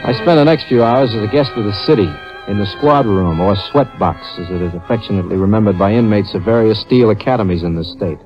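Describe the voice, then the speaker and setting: Wisecracking hardboiled radio detective played by a man, low-voiced. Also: fast, hardly any pauses, close to an everyday voice. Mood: wry narrating. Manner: slangy, wry tough-guy delivery. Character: wisecracking hardboiled store detective, male, easygoing. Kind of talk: 1950s radio detective drama acting, first-person narration. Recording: muffled vintage radio recording with music.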